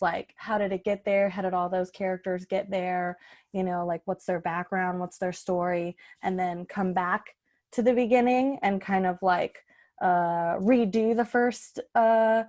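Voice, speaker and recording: A woman with an average-pitched voice leads a casual planning chat. She sounds informal and a little tentative, working out her thoughts as she speaks. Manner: informal, tentative, thinking out loud. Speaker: podcast host and improv organizer.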